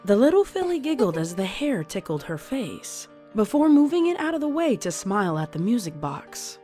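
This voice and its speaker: A woman's voice: a little high, very animated, normal speed. A woman reading scripted audiobook narration as the storyteller. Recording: music playing behind the voice. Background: soft music.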